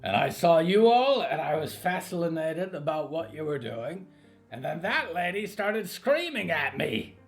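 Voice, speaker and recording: A man voicing a huge, friendly, lonely stranger who talks slowly and simply, in a scripted horror audio drama. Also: a little high, big pitch swings, vocal fry, soft background music, pitched above the performer's own voice, heavily acted. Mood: sheepish.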